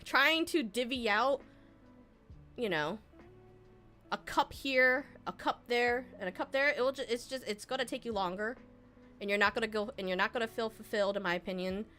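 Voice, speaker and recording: A woman's voice: on the high side, normal speed, sharp tone, soft background music. A young adult woman streamer, Vietnamese by first language, giving earnest, rambling advice to her chat with laughs and restarts.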